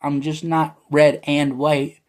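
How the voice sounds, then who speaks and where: Young American man, slightly raised pitch, loose rambling solo vlog delivery with lots of fillers. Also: flat delivery, slow pace. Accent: American, often heard as Canadian. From United States. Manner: meandering, many fillers and restarts, chuckles. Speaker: vlogger. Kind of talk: casual rambling monologue.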